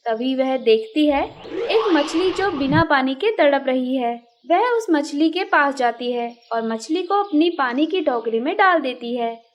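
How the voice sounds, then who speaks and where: A woman's voice: sits high, lively intonation, a little slow, slight background noise. A woman narrating a scripted children's moral story as the storyteller.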